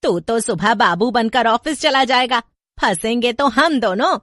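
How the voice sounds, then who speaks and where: A woman's voice: a little high, lively intonation, measured pace, no room sound, studio quality, voice pushed up. Woman acting a scolding old Hindi mother-in-law. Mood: angry, scolding. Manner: grumbling, sharp rhetorical questions. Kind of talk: Hindi horror storybook narration with voices.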